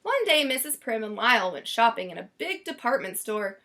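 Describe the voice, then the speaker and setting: High-voiced, animated woman reading a storybook. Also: a little fast. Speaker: storybook reader. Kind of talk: expressive children's read-aloud narration.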